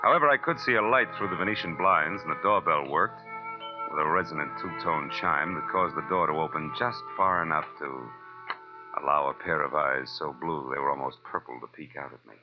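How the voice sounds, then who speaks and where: An American actor playing a wry, wisecracking hardboiled private detective in 1940s radio noir style. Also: male voice, extremely low pitch, brisk. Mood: wry, narrating. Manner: wisecracking, laconic. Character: wry hardboiled private detective, world-weary but game. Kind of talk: 1940s radio noir acting, first-person narration and dialogue. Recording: dull vintage radio recording with music.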